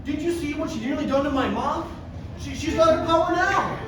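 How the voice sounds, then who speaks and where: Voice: high-pitched, a little fast, lightly acted. An amateur reader playing an aggrieved, accusing villager at a table reading. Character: aggrieved, accusing villager railing against a witch girl. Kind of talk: amateur table-read acting. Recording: very echoey room, distant mic, music behind.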